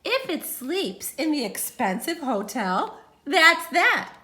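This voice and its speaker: High, animated woman reading a children's story. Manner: dramatic, emphatic, with pauses and sudden swells. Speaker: teacher reading a picture book aloud.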